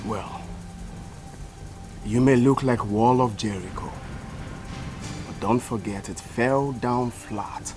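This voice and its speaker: A man's voice: mid-range voice, takes it slowly, long pauses, lightly acted. A man with a Nigerian accent playing a defiant, stubborn father, making emphatic declarations in dramatic film style. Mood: defiant, intense. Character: defiant, stubborn man claiming his son. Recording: loud music under the dialogue.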